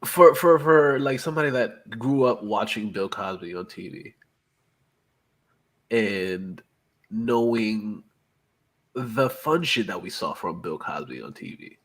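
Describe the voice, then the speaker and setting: A man with a congested nose and a leftover cough joins the podcast chat. His voice is husky and low. He talks loosely and swears freely, full of enthusiasm. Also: expressive, slow pace, long pauses, fairly bright tone. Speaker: podcast co-host.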